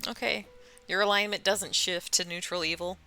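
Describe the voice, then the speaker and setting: A woman acting as dungeon master, calmly explaining rules to players. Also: on the low side, normal speed, smooth. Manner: calm, rules-explaining, laughs easily. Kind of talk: running a tabletop game. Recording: faint music behind.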